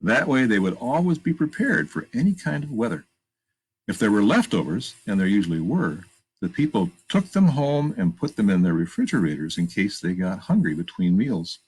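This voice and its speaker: A man with a gravelly, husky voice reads a picture book aloud to children, pausing to point out pictures and ask questions. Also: lowish voice, rough recording. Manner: animated, emphatic read-aloud delivery. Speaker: children's storytime reader. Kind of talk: reading a picture book aloud with chatty asides.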